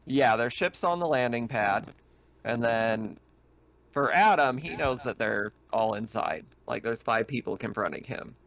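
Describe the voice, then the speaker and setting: Man, game master, conversational narration, improvised descriptions, mid-pitched voice. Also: expressive, unhurried, gravelly, a bit bright, muffled recording. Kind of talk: narrating a tabletop roleplaying game.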